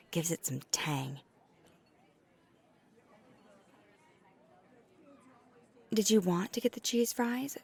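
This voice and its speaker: A woman plays a flirty, caring female vampire in an intimate ASMR audio roleplay. Her voice sits low, with a soft creak and a breathy edge that nears a whisper. She talks slowly and gently, halting as she searches for words. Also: exaggerated voice. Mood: warm, casual. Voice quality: breathy, near-whispered in places. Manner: soft-spoken, often breathy, hesitant and halting.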